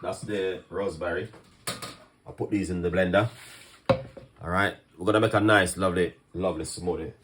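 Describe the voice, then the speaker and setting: A man with a Jamaican accent hosting a casual cooking live stream, talking fast, laughing often and greeting viewers by where they watch from. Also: low voice, fairly even intonation, fairly bright tone, a little hiss or hum. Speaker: cooking channel host.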